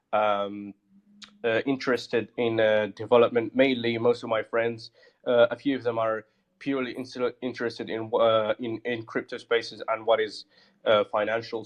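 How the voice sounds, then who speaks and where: Male project founder, casual and halting, mid-range voice, dull lo-fi call sound. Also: mid-range voice. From Morocco. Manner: halting, many fillers and restarts. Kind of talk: casual live audio chat.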